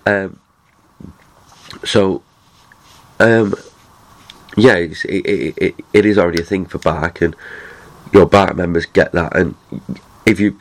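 A man's voice: low voice, steady tone, slow, pauses often, rough recording. A man hosting a podcast, chatty and rambling, a bit nasal from a cold. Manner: rambling, chatty, many restarts. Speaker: podcast host. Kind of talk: casual chat.

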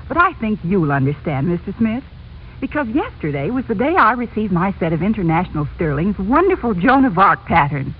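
An actress playing a chatty, delighted housewife in a 1940s radio advertisement. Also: female voice, medium pitch, big pitch swings, a little fast, close to an everyday voice. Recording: tinny, noisy 1940s radio recording.

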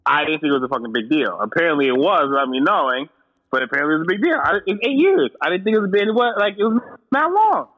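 A man's voice: a little high, very animated, fast. A man co-hosting a casual podcast chat, blunt and swearing, laughing often. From Haitian family. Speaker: podcast co-host. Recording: dull lo-fi sound.

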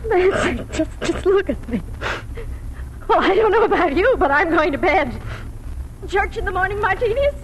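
An actress playing a caring, practical farm wife with a plain, tender way of talking, in 1940s radio style. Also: female voice, extremely high pitch, animated, normal speed, long pauses. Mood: affectionate. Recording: noisy old radio broadcast recording.

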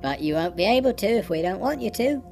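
Woman playing a cold calm experimenter, detached, mid-pitched. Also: fast talker, vocal fry, faint music behind. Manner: calm, detached, matter-of-fact.

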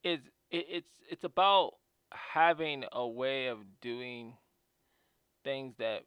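A man's voice: medium pitch, slow pace, clear, resonant tone, dry recording, muffled recording. A man hosting a casual video, reading from notes about a guest and often stumbling and repeating words.

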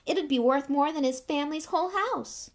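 A woman voicing a scornful schoolboy bully from a storybook, loud, mocking and scathing. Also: extremely high pitch, wildly swooping pitch, a little slow, voice pushed up, full character voice. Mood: smirking scorn.

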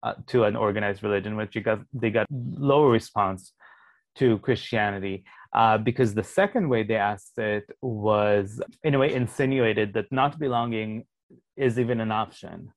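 A man, a Hebrew speaker, answering interview questions as a sociologist in a measured, explanatory way, thinking aloud as he goes. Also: fairly low pitch, no echo. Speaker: sociologist guest.

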